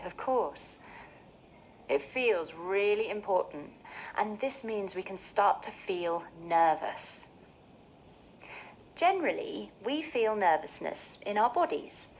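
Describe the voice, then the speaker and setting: A woman hosting a scripted mindfulness session for children, speaking in a soothing, clearly phrased, gently expressive way. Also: medium pitch, slight background noise, rough recording. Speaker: host of a children's mindfulness show.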